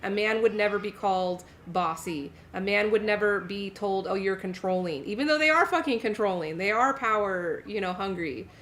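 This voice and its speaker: A woman's voice: medium pitch, normal speed, few pauses, fairly bright tone. Emphatic woman, faint noise behind. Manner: emphatic, repeats points for weight. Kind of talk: casual group chat.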